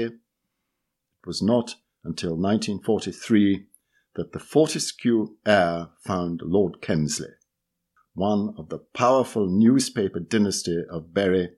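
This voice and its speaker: A man reading an essay aloud, measured and deliberate as he works through lists of book lots. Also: fairly low pitch, darkish tone, breathy edge. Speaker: reader. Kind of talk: scripted narration of an essay.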